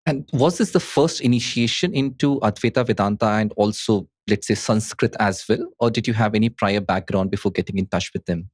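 A man's voice: on the low side, fairly quick, few pauses, slightly rough. A man in his thirties hosting a podcast, reading a scripted intro and asking interview questions in a studio. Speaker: podcast host. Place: studio with dark wall. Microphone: studio mic with headphones.

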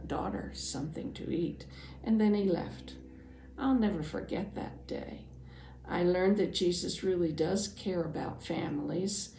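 A woman voicing a devout, anxious father who earnestly tells his Bible story, dramatically, with hushed phrase endings. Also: lowish voice, gravelly, slight background noise. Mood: relieved, grateful. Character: devout anxious father, synagogue leader, telling his testimony. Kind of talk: first-person Bible storytelling for families. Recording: roomy, echoing sound.

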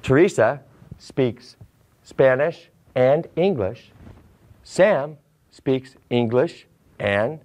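American man, forties, language teacher, enunciated model sentences, strongly varied pitch. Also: expressive, a little mellow. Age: 40s. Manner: deliberate, enunciated. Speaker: English teacher. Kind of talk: slow scripted language lesson with simple modelled sentences. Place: small classroom set, indoors.

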